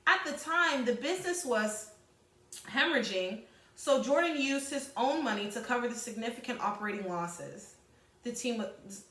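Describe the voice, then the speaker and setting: A woman teacher leading a read-aloud lesson, informally addressing pupils with explanatory asides and slightly halting reading. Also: on the high side, expressive, a bit bright. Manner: halting, self-correcting reading. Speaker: sixth-grade teacher. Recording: echoey room.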